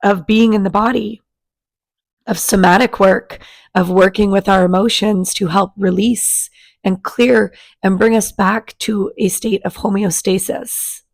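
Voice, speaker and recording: Raspy woman recovering from a cold. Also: mid-range voice, fairly even intonation, unhurried. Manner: gentle, chatty, with many fillers and restarts. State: raspy from a sinus virus. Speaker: spiritual coach and channel host. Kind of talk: casual monthly energy forecast.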